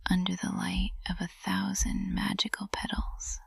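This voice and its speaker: Woman acting a breathy, whispering witch teacher in love. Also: mid-range voice, completely flat delivery, no room sound, exaggerated voice. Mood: possessive, soothing. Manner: slow, hushed, intimate, adoring. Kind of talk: intimate ASMR whispered roleplay. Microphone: close ASMR microphone.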